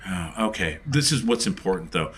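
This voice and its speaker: A man's voice: deep voice, expressive, fairly quick, a bit bright. A man playing a tabletop role-playing game, improvising casually in character.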